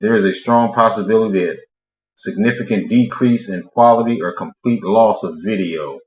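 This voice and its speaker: Low-voiced guy on a video call, halting, reads out error messages, echoey muffled sound. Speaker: guest actor.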